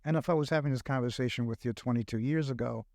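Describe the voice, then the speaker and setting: Older Brooklyn man, reflective guest, mid-pitched, close dry studio mic. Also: creaky, darkish tone, dry recording. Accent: Brooklyn New York. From Brooklyn, New York. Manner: reflective, halting with many fillers. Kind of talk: casual podcast conversation. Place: recording studio.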